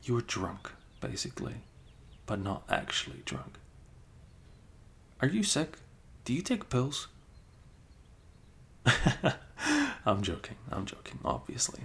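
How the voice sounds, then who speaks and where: A man doing an improvised ASMR roleplay as a best friend, soft-spoken and hesitant, slipping into whispers, close to the microphone. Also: mid-range voice, very animated, slow pace, vocal fry, long pauses.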